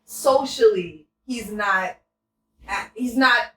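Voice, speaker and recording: A woman's voice: fairly high pitch, slow pace, a bit bright, echoey room, far from the mic. A woman co-hosting a casual podcast with chatty interjections.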